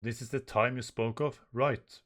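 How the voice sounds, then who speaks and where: Man reads as an old weary manga robot, solemn, slow, slightly lifted medium pitch. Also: steady tone, fairly bright tone. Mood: solemn, wondering. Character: ancient rusted giant robot, solemn and weary.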